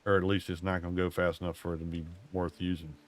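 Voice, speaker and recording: A man, streaming a game and muttering to himself in a drawling, self-mocking way, his voice going hoarse. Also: low-pitched, flat delivery, fast talker. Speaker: gaming streamer. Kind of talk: casual gameplay commentary.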